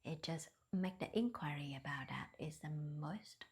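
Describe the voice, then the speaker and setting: A woman leading a guided meditation in a soft, breathy near-whisper, with gentle, hesitant non-native phrasing. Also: deep voice, animated, normal speed. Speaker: meditation teacher.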